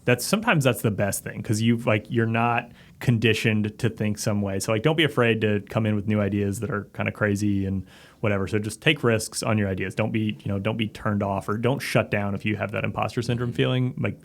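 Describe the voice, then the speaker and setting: A man's voice: fairly low pitch, fairly even intonation, fairly quick, vocal fry. A man in a casual interview, talking informally and reflectively as a podcast guest. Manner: informal, reflective, peppers talk with "like" and "kinda". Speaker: podcast guest, company CEO and former submarine officer.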